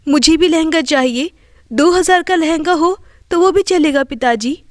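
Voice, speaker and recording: A woman's voice: sits high, moderate pace, dry recording, clean studio sound, natural voice. A woman voicing a meek, mistreated young daughter, innocent and pleading, in a storybook tale.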